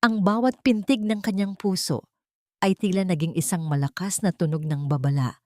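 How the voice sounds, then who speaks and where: A woman reading a scripted dramatic story in a solemn, deliberate, emotive way. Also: on the low side, dry recording, studio quality.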